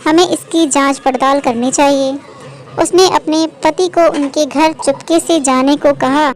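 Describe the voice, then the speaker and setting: A woman narrating a scripted moral story as the storyteller and host. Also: sits high.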